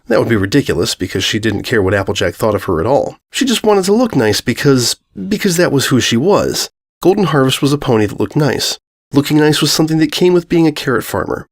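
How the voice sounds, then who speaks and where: A man narrates a humorous story from a script. His voice sits at an ordinary middle pitch, and he delivers the prose as a storyteller would.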